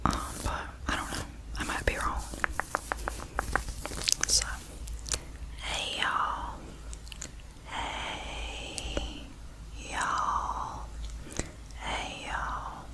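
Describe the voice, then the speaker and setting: A young woman with a Southern US accent, whispering softly for ASMR. She repeats words and phrases over and over into a close microphone. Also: extremely slow, pauses often. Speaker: ASMR creator.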